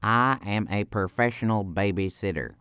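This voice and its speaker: A man playing an earnest professional babysitter in a comic monologue, speaking formally and precisely with a deadpan delivery. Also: fairly low pitch, normal speed, clean tone, dry recording.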